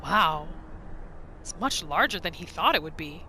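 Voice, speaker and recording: A woman's voice: fairly low pitch, lively intonation, measured pace, sharp tone, a little hiss or hum. A woman doing roleplay gameplay commentary, narrating her character's thoughts and reacting to the game. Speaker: gaming video creator, roleplayer. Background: faint music.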